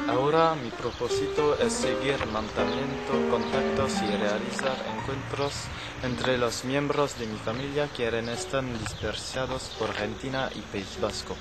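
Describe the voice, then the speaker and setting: Man, reader, slow and stumbling, average pitch, strong music bed. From French Basque Country. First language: French. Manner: halting, restarts mid-word. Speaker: personal testimony narrator. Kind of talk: reading a prepared personal statement. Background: loud music.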